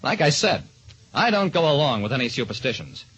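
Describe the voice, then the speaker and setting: A man's voice: medium pitch, sing-song, brisk, a bit bright, breathy edge, dry recording, low-quality recording. A man narrating and hosting in 1940s radio style, on an old radio recording. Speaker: detective show star and quiz host.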